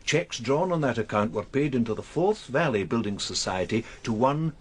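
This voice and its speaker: A man's voice: mid-range voice, expressive, moderate pace, husky. An actor playing a meticulous, wordy Scottish man who is helping police in a radio crime drama, speaking a little hesitantly and with some self-satisfaction. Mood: quietly pleased. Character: fussy, self-satisfied male amateur investigator helping police.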